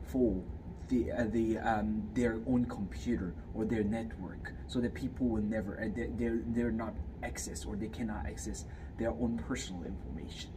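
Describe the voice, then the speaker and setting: A man's voice: fairly low pitch, fairly even intonation, moderate pace. A man in his twenties from Fiji, answering interview questions in a hesitant way with many fillers and restarts. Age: 20s. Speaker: guest, student. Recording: distant mic, clear background noise.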